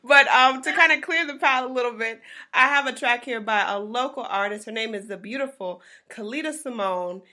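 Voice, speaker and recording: A woman's voice: fairly high pitch, a little fast, pushed and bright. A woman hosting a casual talk show, laughing easily and repeating phrases for emphasis. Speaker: host.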